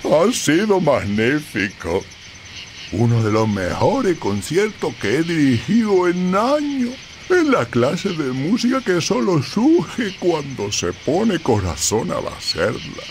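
A man's voice: fairly high pitch, very animated, slow, a little hiss or hum, exaggerated voice. A man playing a fussy, proud crab composer in a video game, speaking in a theatrical, grandiose way. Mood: proud, elated. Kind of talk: video game character acting.

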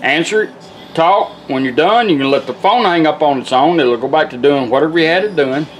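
A man's voice: fairly high pitch, fairly quick, a little hiss or hum, poor audio. A middle-aged man giving an informal, plain-spoken hands-on product demonstration. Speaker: vintage car radio restorer demonstrating a product. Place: indoor workshop bench. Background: guitar music from the radio at times.

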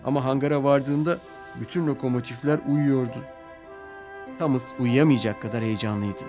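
A man's voice: medium pitch, fairly even intonation, normal speed, dark tone. A man narrating a scripted story for children. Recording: music behind the voice.

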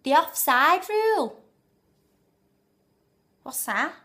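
A woman's voice: high-pitched, extremely slow, pitched above the performer's own voice, heavily acted. Squealing Scouse football girl, as a woman puts her on. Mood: giddy. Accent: Scouse (put on). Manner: squealy and excited. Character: giddy young Liverpool football fan girl.

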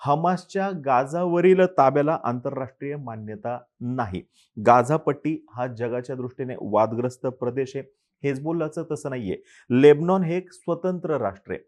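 A man giving a scripted news explainer in a measured, explanatory way, asking rhetorical questions and answering them. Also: medium pitch, a little mellow.

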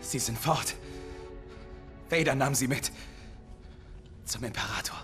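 Intense young warrior, swinging delivery. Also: male voice, mid-range voice, lively intonation, takes it slowly, over music. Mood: bitter, subdued. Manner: dramatic, swings from hushed brooding to forceful outbursts. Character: intense young male apprentice warrior seeking redemption. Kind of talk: dubbed video game cinematic acting. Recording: loud film score underneath.

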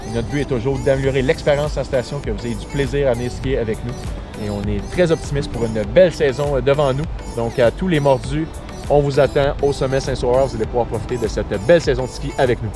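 A man's voice: mid-range voice, fast, music in the background. A man, a ski resort director talking up the season in an upbeat way, with fillers, outdoors on a chairlift. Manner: upbeat, with frequent fillers and repeated words. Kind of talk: promotional interview talk. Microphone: action camera on a pole.

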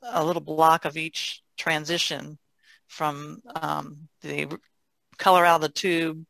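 A woman's voice: sits low, flat delivery, slow, gravelly, fairly bright tone, no echo, low-quality recording. An older woman, a painter, casually explaining her craft in conversation. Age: older, about 60s. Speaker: painter, podcast guest.

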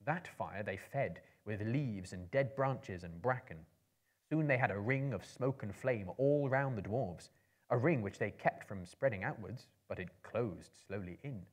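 A man in his thirties giving a measured, theatrical reading of a story as a performer. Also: fairly low pitch, expressive. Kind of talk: dramatic literary reading to a live audience. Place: indoor book-lined room, seated in an armchair.

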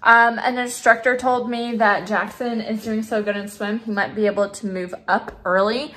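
A young woman casually chatting about her day while filming herself on a handheld phone at home. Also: medium pitch. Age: young adult. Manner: chatty, upbeat, relaxed. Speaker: vlogger. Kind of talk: casual day-in-the-life narration. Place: home interior and car. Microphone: phone camera, handheld selfie.

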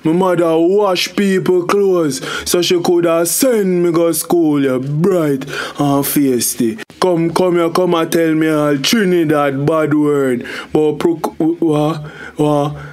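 A man's voice: fairly high pitch, fairly even intonation, takes it slowly, few pauses, darkish tone. An actor playing a flustered, grumbling Jamaican family father in a radio comedy drama, stammering as he complains. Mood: indignant.

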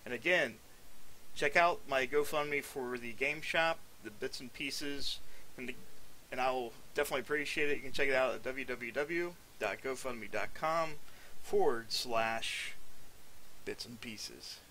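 A man's voice: mid-range voice, unhurried, bright, forceful tone, a little hiss or hum, low-quality recording. A young man giving casual let's play commentary while hosting from a small room. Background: game music and sound.

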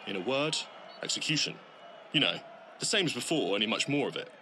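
A man's voice: mid-range voice, expressive, a little fast, pushed and bright. A man playing an earnest, matter-of-fact male sports pundit in an audio story. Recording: noisy outdoor ambience behind voice.

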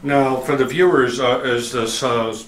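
A man's voice: mid-range voice, fairly even intonation, a little slow, fairly bright tone. Male interviewer in an echoey room. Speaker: video reviewer and interviewer. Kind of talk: casual on-camera interview chat. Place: hotel room at an audio show. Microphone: camera microphone, held by the speaker. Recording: echoey room, faint background room noise.

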